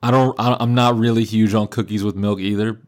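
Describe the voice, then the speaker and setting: A man's voice: fairly low pitch, fairly even intonation, a little fast. A young man co-hosting a casual sports podcast, rambling through tangents with lots of fillers. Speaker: podcast co-host.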